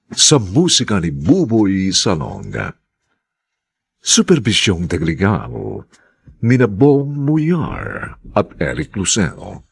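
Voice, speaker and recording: A low, gravelly man presents a radio drama as its announcer. His delivery is slow and formal, stretching out title phrases for drama.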